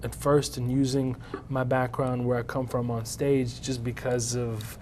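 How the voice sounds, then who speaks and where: A man in his thirties, a stand-up comedian, telling his story in an interview, lively and acting out what people said. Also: mid-range voice, unhurried, a little hiss or hum. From United States. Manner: animated storyteller, acts out quoted voices.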